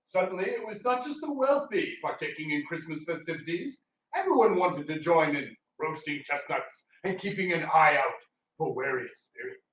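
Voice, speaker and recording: Man doing an exuberant radio sidekick, high and echoey. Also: expressive, normal speed, fairly bright tone, slight background noise. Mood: eager. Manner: exuberant, exclaiming. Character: excitable, jolly sidekick gentleman from a radio comedy. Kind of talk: old-time radio show acting. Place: live talk in a public library room. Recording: echoey room, distant mic.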